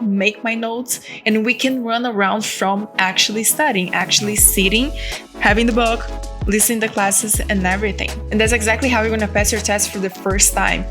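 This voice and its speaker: A young woman from Brazil with a Brazilian Portuguese accent, speaking English as a second language, giving earnest, encouraging study tips in a casual chat to her viewers. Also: mid-range voice. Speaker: vlogger. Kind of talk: casual direct-to-camera advice.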